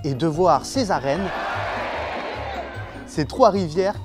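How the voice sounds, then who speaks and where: A man's voice: on the high side, takes it slowly, loud music behind the voice. A man presenting a popular neuroscience segment, narrating playfully and asking passers-by questions. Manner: lively, explanatory, playful. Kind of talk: narration and street interviews.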